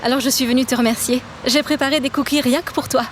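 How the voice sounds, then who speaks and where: An actress playing a sweet, grateful young university student in an audio drama, polite and friendly. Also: female voice, sits high, fairly even intonation, quick pace, slight background noise, natural voice.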